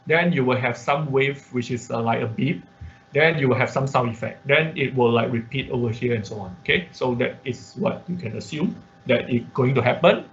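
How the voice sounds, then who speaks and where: A man giving an informal step-by-step tutorial as an online class instructor, often checking in with short tag words. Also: mid-range voice. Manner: step-by-step explaining with frequent check words like okay and right. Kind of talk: informal screen-share tutorial. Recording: dull, lo-fi online-class audio.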